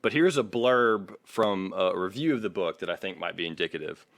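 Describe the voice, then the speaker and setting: A man co-hosting a podcast, talking casually in a measured, hesitant way and sometimes reading aloud. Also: deep voice, lively intonation, a bit bright, dry recording. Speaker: podcast co-host.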